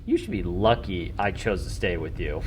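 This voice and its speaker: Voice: sits low, moderate pace, slight background noise, voice pushed down, lightly acted. A game master voicing a grumpy, put-upon bat, complaining sullenly in a tabletop radio play. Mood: grumpy, indignant.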